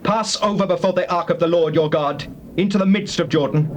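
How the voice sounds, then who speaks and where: A high, emphatic British voice of a male actor plays a commanding Israelite leader in an audio Bible drama. He proclaims solemnly and formally to his people. The tape is old and clearly noisy. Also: a little fast, natural voice.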